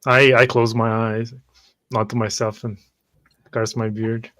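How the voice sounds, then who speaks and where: A man playing a tabletop roleplay, haltingly narrating his character's actions in the first person. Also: medium pitch, flat delivery, fairly bright tone.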